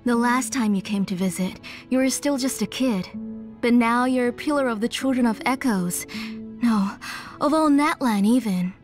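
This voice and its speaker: An actress plays a motherly middle-aged auntie in a fantasy game village. Her voice is a little high, and her manner is gentle and hospitable. Soft music runs underneath. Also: moderate pace, natural voice. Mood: fond. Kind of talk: anime game voice acting. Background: background music.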